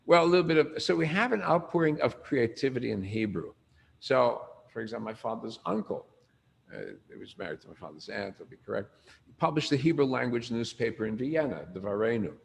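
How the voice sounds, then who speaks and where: An older man with a gravelly voice giving an informal lecture on history, asking his audience questions and answering them himself. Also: mid-range voice, fairly quick. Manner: animated storyteller, asks the room questions.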